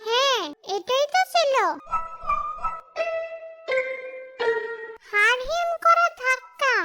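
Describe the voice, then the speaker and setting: Woman doing a muddled old she-ghost for a cartoon, super high voice, grumbly plotting, loud music under it. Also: animated, unhurried. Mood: gleeful scheming. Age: older. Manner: scheming, muttering to herself, self-correcting. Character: forgetful old female ghost, grumpy tree guardian, bungling scarer. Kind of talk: cartoon horror-comedy acting.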